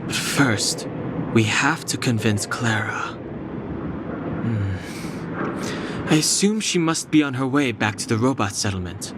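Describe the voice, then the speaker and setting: A man voicing a composed, analytical young male strategist in a video game, measured, dry and precise. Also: medium pitch, lively intonation, lightly acted. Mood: calm, analytical. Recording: clear background ambience noise.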